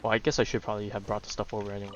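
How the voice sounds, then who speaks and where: A man streaming a video game, chatting casually in a rambling, halting way as he thinks aloud. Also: on the low side, completely flat delivery, fairly bright tone, muffled recording, slight background noise. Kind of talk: casual livestream chat over gameplay. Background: game music and battle sounds.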